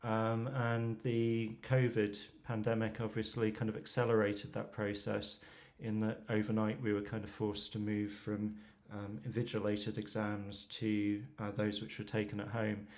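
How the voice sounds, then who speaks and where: A man speaking as a researcher guest on a remote interview, answering in a measured, explanatory way with frequent ums. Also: fairly low pitch, completely flat delivery.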